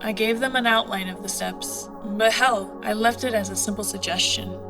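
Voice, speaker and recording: A woman's voice: mid-range voice, fairly even intonation, moderate pace, close to an everyday voice. A woman playing a tough, streetwise, protective young pilot in an audio drama. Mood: resolute, confiding. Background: music.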